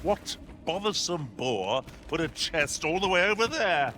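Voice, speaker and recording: An actor playing a bold, stout-hearted fighting companion in a fantasy game, with grand, old-fashioned phrasing. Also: male voice, a little high, animated, measured pace, slight background noise. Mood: puzzled. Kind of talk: scripted fantasy game dialogue. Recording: loud game effects and ambience behind.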